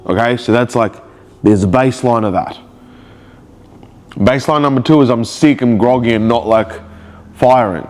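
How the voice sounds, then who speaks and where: A man's voice: mid-range voice, moderate pace, pauses often, a little mellow. A man with a cold, sounding nasal, talking emotionally and rambling in a confessional video diary. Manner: rambling, emotional, searching for words. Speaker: vlogger.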